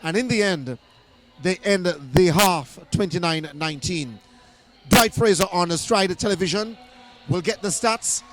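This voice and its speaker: A hoarse man doing live netball commentary in an indoor arena, excited and often restarting his phrases. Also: sits high, a little slow.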